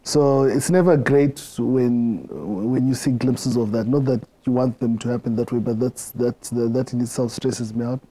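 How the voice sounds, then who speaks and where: A middle-aged man with a Zimbabwean accent, answering interview questions in a reflective way, often halting and repeating words. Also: mid-range voice, steady tone, mellow, poor audio. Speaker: interview guest.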